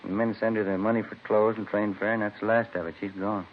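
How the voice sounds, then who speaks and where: An actor playing a courteous, matter-of-fact police detective sidekick in a vintage radio crime drama. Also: male voice, level tone, fast talker, natural voice. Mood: factual. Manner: matter-of-fact, polite, low-key. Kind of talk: 1940s-50s radio crime drama acting. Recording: thin, phone-like vintage radio recording, faint hiss.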